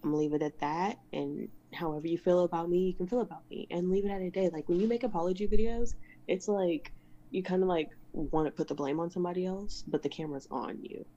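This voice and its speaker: A woman's voice: lowish voice, fairly even intonation, quick pace, mellow, dull audio. A young woman co-hosting a podcast, chatting casually in a slangy, conversational way. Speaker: podcast co-host.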